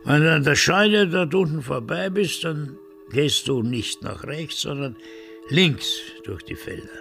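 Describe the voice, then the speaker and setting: An actor playing a cagey, melancholy village blacksmith in a radio drama, halting and evasive. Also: male voice, on the high side, expressive, creaky, fairly bright tone, soft background music, full character voice. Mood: confiding.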